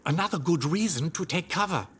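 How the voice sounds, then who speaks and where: A man's voice: a little high, lively intonation, a little fast, a bit bright, breathy edge, full character voice. A man voicing a cowardly, shifty little thief in a comic fantasy game, jittery and full of excuses. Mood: nervous. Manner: jittery, self-justifying.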